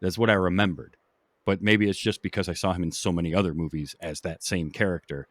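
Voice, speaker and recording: A man's voice: sits low, steady tone, fast, no echo. A man hosting a podcast, chatting casually about films. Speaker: podcast host. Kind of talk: casual film discussion.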